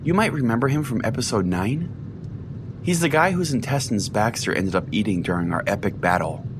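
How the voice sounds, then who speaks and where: A man's voice: lowish voice, animated, a little fast, background noise. A man playing a self-important, sardonic conspiracy-preaching pastor who rambles chattily as host of an audio-drama show. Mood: smug storytelling. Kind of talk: scripted audio-drama monologue as a talk show.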